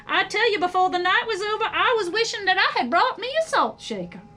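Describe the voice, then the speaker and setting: A woman's voice: extremely high pitch, expressive, fairly quick, bright, forceful tone, pitched above the performer's own voice, heavily acted. A woman voicing a chatty, indignant mother worm in a storybook, complaining emphatically.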